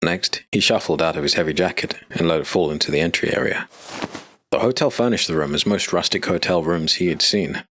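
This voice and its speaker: A man narrating a scripted dark fantasy story as an audiobook narrator. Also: deep voice, fairly quick, no room sound.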